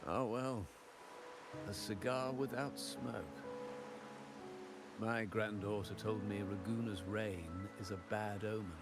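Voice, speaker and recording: A man playing an elderly, courteous gentleman in a video game, musing in a formal, philosophical and gravelly voice. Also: lowish voice, steady tone, slow pace, long pauses, over loud music. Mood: polite, wry. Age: older. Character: elderly cultured gentleman, courteous, musing, cryptic art lover. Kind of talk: scripted video game acting.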